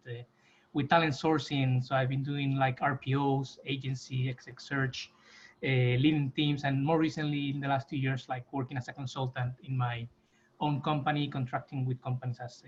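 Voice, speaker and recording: A man from Spain, a recruitment consultant introducing himself casually over a video call. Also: mid-range voice. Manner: casual, loose with fillers. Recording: dull mic with faint noise.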